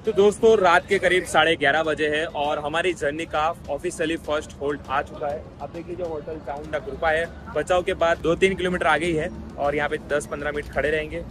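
A man's voice: fairly high pitch, steady tone, a little fast, few pauses, music in the background, rough recording. A man narrating a travel vlog outdoors at bus stations at night, pointing things out to viewers he calls friends. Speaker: travel vlogger.

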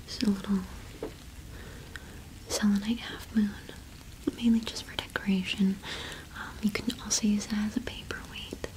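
A young woman doing an ASMR shopkeeper roleplay in a gentle, unhurried whisper with pauses, close to the microphone. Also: medium pitch, flat delivery, poor audio. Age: young adult. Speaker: ASMR performer playing a cottage witch shopkeeper.

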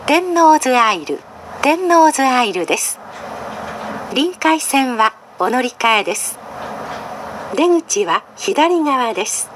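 A woman reading scripted train announcements in a polite, formal, carefully enunciated way, played over a monorail car's speakers. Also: a little high. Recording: train cabin noise behind announcement.

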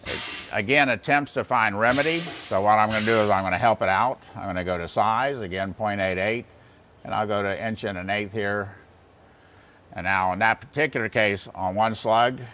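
A man's voice: lowish voice, normal speed, sharp tone. An American man in his sixties giving a plain-spoken, folksy technical demonstration, explaining things step by step. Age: 60s. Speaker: instructor demonstrating engine balancing equipment. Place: large indoor machine shop. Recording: clear background noise, workshop ambience.

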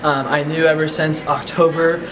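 A young man with a fairly high voice speaks casually in an interview. Room noise is clearly heard behind him. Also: level tone, normal speed. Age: young adult. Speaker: student senator, meeting organizer. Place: indoor room.

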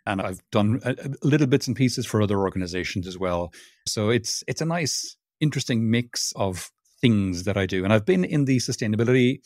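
A man's voice: on the low side, darkish tone, dry recording, studio quality. A man from Cork with an Irish accent, a guest chatting casually and self-deprecatingly, often restarting his sentences. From Ireland. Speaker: podcast guest, sustainability consultant. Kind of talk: casual interview talk.

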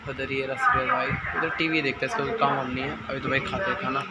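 Hoarse teenage boy vlogging at home. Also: medium pitch, fairly even intonation, fast talker, very noisy. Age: teens. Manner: chatty, filler-heavy, addresses viewers as "bhai" and "guys". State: hoarse, sore throat. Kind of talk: casual day-in-the-life vlog. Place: small home rooms indoors. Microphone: phone camera held at arm's length.

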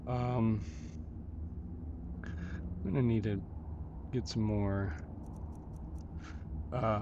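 A man giving casual solo commentary as he plays a video game, muttering to himself and repeating short phrases. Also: lowish voice. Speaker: video game player and host of a gameplay series. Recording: dull lo-fi audio with clear background noise.

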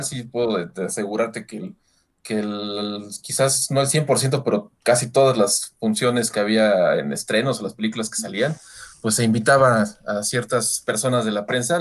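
A Mexican man in his thirties chatting casually as a call-in guest, with slangy, relaxed phrasing. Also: lowish voice. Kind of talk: casual chat. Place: indoor room on a video call.

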